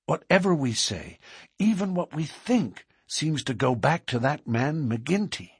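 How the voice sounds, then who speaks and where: Man voicing a hushed, troubled older man, husky. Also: fairly high pitch, lively intonation, measured pace, dry recording, studio quality, voice pushed up, exaggerated voice. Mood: vehement. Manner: hesitant, guarded, given to anguished outbursts. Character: cautious, bitter older man, troubled lodge brother. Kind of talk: audiobook character dialogue.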